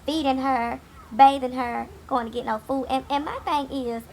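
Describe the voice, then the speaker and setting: Woman, raspy gravelly voice, a bit high, blunt and sassy, casual show recap, faint hiss behind. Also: fairly even intonation, normal speed, darkish tone. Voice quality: raspy from a cold. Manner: blunt, sassy, swears freely. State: hoarse, getting over a cold. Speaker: TV recap host. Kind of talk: casual episode review. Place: home, late at night.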